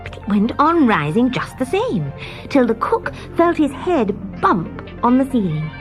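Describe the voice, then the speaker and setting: A woman narrating a scripted children's story as an expressive storyteller. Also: a little high, measured pace, faint music behind. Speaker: story reader.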